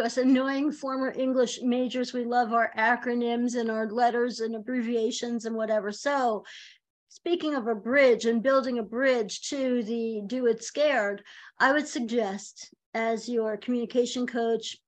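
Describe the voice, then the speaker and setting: A woman in her fifties, a communication coach, giving chatty, animated speaking advice with a high voice over a video call from home. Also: unhurried, low-quality recording. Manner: chatty and animated, laughs often. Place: home room, indoors. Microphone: video call webcam.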